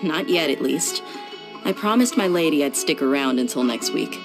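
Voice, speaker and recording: A confident mercenary woman from a video game. The voice sits low for a woman. The delivery is laid-back, loyal and matter-of-fact, with music playing behind. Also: lively intonation, moderate pace, low-quality recording. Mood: casual. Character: easygoing, confident adult female mercenary, loyal bodyguard. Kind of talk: video game dialogue acting.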